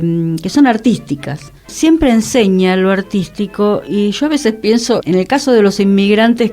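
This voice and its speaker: A woman's voice: mid-range voice, a little mellow. Reflective Argentine woman hosting radio. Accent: Argentine Rioplatense Spanish. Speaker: radio host. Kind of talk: conversational radio talk.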